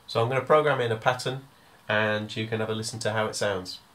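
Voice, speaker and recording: A man giving a hands-on music software tutorial, explaining step by step in an informal, hesitant way. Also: fairly low pitch, steady tone, fast talker.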